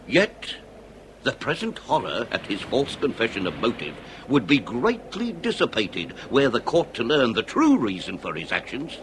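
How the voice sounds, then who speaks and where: An actor playing a grave, implacable but just police inspector in a radio drama, with a British accent and formal, deliberate, theatrical speech. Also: male voice, on the high side, noisy background. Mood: stern.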